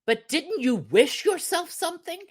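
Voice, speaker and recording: A woman's voice: high voice, sing-song, a little slow, pitched above the performer's own voice. A woman voicing a nagging, discontented storybook wife with an insistent, wheedling way of talking. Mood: prodding.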